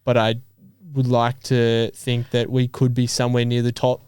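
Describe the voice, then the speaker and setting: An Australian man in his twenties in casual podcast conversation, informal and a little halting as he thinks aloud. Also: medium pitch, monotone, a little mellow, smooth, dry recording, clean studio sound. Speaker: podcast host and elite athlete.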